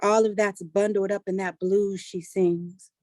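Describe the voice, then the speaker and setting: A woman's voice: medium pitch, level tone, measured pace, warm tone, no room sound, clean studio sound, close to an everyday voice. A woman reading the part of a caring, perceptive sister in a staged play, speaking in an earnest, explanatory storytelling way. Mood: earnest, sorrowful. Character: caring, perceptive sister confiding about her grieving mother.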